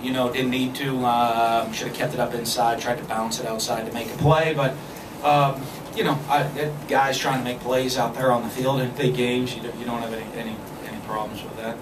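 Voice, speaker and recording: A man's voice: medium pitch, level tone. Man at a podium, echoey room, faint noise. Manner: measured, many fillers and restarts. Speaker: head football coach. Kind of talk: postgame press conference. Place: press room with backdrop. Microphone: podium mic, filmed from a distance. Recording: echoey room, far from the camera, background noise.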